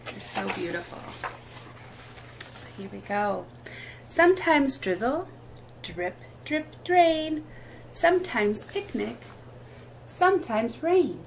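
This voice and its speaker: A woman with a slightly high voice hosts story time for young children. She takes her time, delivering picture-book words in expressive, deliberate phrases. There is faint noise in the recording. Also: vocal fry.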